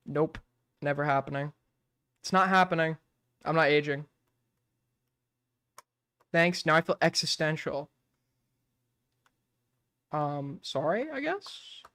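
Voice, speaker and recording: A man's voice: on the high side, expressive, slow, long pauses, pushed and bright, smooth, no room sound. A young man in his twenties chatting casually on a late-night stream, rambling and swearing, filling his talk with "like". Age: 20s. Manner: rambling, swears a lot, fills with "like".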